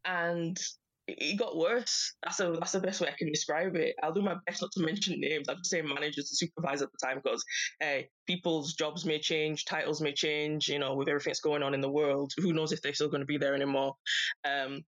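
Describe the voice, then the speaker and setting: A woman's voice: low-pitched, fairly quick, rough recording. Chatty woman. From Yorkshire, England. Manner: chatty, laughs often, animated quoting of others. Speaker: podcast guest. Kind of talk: casual conversational storytelling.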